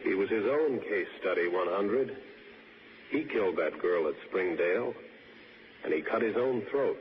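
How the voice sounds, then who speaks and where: A cool, persistent police interrogator in a vintage radio thriller. The voice is low with lively inflection, on a dull, noisy old broadcast. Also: male voice, unhurried. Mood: matter-of-fact, insistent. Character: male police interrogator, coolly persistent, coaxing out confession. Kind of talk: 1960s radio drama acting.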